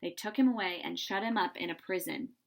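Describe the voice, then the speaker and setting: A woman reading a children's story aloud in an animated, dramatizing way, with pauses for suspense. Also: fairly low pitch, gravelly, low-quality recording.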